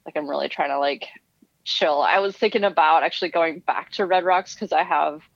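A woman's voice: low-pitched, steady tone, fairly quick, gravelly, no echo. Chatty woman guest on dull remote audio. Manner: chatty, many "like" fillers, laughs often. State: slightly stuffy from allergies. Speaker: climbing coach guest. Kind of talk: casual interview chat.

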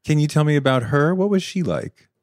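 Lively-voiced man hosting an interview. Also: fairly high pitch, a little fast, no room sound, studio quality. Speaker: podcast host. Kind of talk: interview questions.

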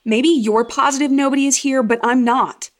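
A woman's voice: high-pitched, steady tone, moderate pace, pitched above the performer's own voice, natural voice. A woman voicing a timid, frightened girl in a horror story, anxious and pleading. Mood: nervous. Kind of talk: horror story character dialogue.

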